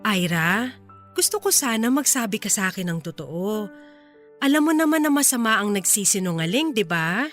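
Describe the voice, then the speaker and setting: A woman's voice: mid-range voice, expressive, moderate pace, a bit bright, soft background music, natural voice. A woman playing a firm, protective social worker in a radio drama, speaking earnestly and persuasively. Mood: probing, insistent.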